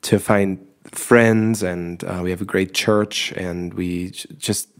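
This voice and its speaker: A man, co-hosting a podcast in a relaxed, rambling chat. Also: low-pitched, slow. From Germany. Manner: relaxed, rambling with restarts and laughs. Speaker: podcast co-host. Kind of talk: casual chat.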